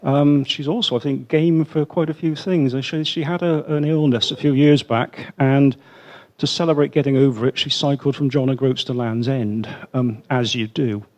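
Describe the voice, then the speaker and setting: A man at an everyday mid pitch introduces a guest speaker. He pauses often and leans on ums as he goes along. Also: fairly even intonation, darkish tone, lo-fi sound. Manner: hesitant, full of ums. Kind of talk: brief introduction.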